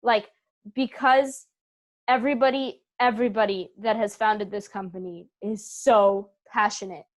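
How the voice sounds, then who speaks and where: A woman's voice: mid-range voice, steady tone, unhurried. A young woman giving conversational interview answers in an effusive, emphatic way. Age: young adult. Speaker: interview guest, theatre company co-founder.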